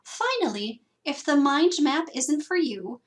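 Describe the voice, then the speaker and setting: A young librarian with a Wisconsin accent and a fairly high voice walks through a lesson in a scripted way. She keeps it lively, asks lots of questions and teases herself a little. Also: measured pace. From Wisconsin, USA. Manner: lively, self-teasing, asks many questions. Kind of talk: scripted instructional narration.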